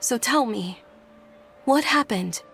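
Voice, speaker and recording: An actress playing a polite, thoughtful young noble lady in a video game, speaking in a measured, refined way. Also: a little high, animated, vocal fry, a bit bright, faint music behind, exaggerated voice. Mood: concerned. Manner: refined, measured, formal phrasing.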